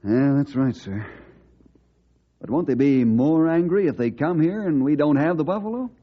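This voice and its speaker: An actor playing a young, respectful ranger hero in an earnest, measured old-time radio style. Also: male voice, fairly high pitch, animated. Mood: concerned. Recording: dull old radio recording.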